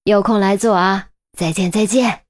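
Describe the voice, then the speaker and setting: A woman's voice: mid-range voice, steady tone, normal speed, natural voice. A woman voicing a chatty, nosy, thrifty old neighbour lady in an audiobook, with a folksy, fussing way of talking. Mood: grumbling.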